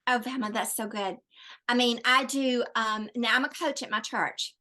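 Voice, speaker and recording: A woman who coaches at her church talks in an upbeat, motivational way, bringing faith into her advice. Her voice is bright and a little high, with a forceful edge. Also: fairly even intonation, normal speed. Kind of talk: interview chat.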